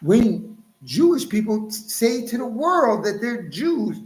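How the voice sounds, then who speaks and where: A man's voice: very high voice, sing-song, slow, echoey room. A man preaching and reading scripture in a lecturing, emphatic way, now and then jumping into mocking voices. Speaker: Hebrew Israelite teacher.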